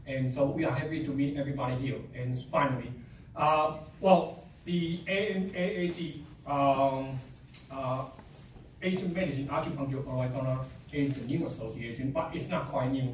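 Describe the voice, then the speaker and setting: A man with a strong Chinese accent giving a halting, upbeat presentation at a public meeting, with many fillers. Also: medium pitch, slight background noise. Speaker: association presenter. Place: meeting room. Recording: echoey room, mic at a distance.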